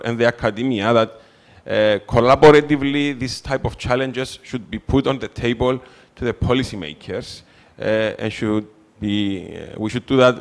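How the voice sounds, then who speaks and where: A man with a Cypriot Greek accent moderating a conference panel discussion, speaking haltingly with many filler sounds. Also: mid-range voice, creaky. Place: conference hall.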